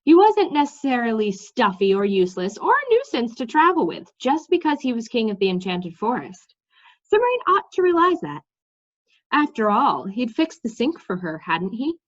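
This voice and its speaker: A woman reading a children's fantasy story aloud in an expressive, informal way. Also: fairly high pitch, normal speed. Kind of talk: story read-aloud with asides to listeners. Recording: slightly dull, lo-fi sound.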